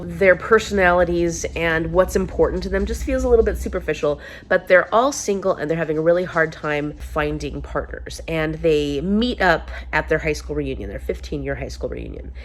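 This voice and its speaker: A woman's voice: on the low side, lively intonation, normal speed. A woman vlogging casually to camera, chatty and upbeat, a little congested with a sore throat. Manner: chatty, upbeat, rambling with restarts. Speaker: book vlogger.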